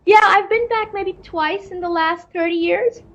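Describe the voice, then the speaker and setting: A woman's voice: very high voice, a little slow, a bit bright, muffled recording. A middle-aged woman from Pakistan, an astrophysicist answering interview questions thoughtfully, often repeating words as she searches for them. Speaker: astrophysicist guest.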